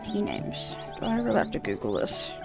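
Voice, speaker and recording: A woman's voice: medium pitch, wildly swooping pitch, brisk, creaky, loud music behind the voice. An Australian woman in her thirties, chatting casually and earnestly over her gameplay, often trailing off mid-sentence. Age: 30s. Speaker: gaming channel host.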